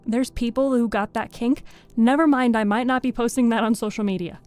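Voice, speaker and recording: A woman's voice: a little high, steady tone, brisk, darkish tone, faint music behind. A woman live-streaming as a VTuber and book reader, chatting casually with viewers, thanking them by name and laughing often. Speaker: streamer and VTuber reading host.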